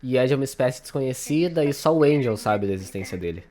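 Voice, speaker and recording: A man's voice: mid-range voice, lively intonation, fast talker, a little mellow. A teenage young man with a Brazilian accent, giving casual explanatory commentary as a video essayist. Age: teens. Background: prominent music.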